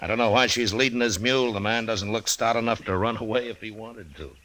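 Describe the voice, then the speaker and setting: An actor playing a dry, world-weary American frontier marshal, laconic and understated, in a 1950s radio western. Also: male voice, on the low side, fairly quick, pushed and bright. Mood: quietly amused.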